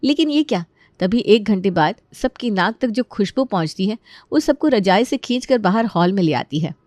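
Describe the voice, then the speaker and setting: A woman narrates a family story in an expressive, conversational way. Her voice sits at a middle pitch, and the recording is close and dry. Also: normal speed, no room sound. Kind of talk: animated Hindi storytelling narration.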